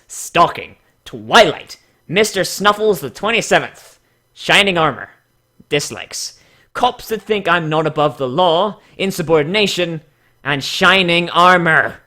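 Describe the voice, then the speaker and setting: A man's voice: a little high, sharp tone, heavily acted. A man voicing a scheming, lewd, tyrannical female pony ruler in a theatrical, boastful reading of a cartoon fan character.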